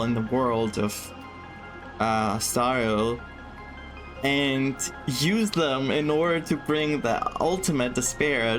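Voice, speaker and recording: Rambling man commenting on a game. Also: medium pitch. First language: not English. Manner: rambling, searching for words, excitable. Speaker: gaming streamer. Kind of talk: casual game playthrough commentary. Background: game music.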